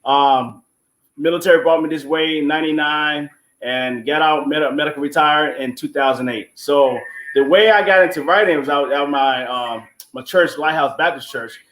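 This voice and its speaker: Male interview guest from Florida, informal animated storyteller, fairly high voice, roomy echo. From Florida, United States. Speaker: guest, playwright.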